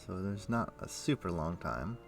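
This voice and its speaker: A man livestreaming a game, chatting casually and thinking aloud in a rambling way, with a rough morning voice. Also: low voice, expressive, moderate pace, over music. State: rough morning voice, throat clearing. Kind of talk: casual chat while playing an online game.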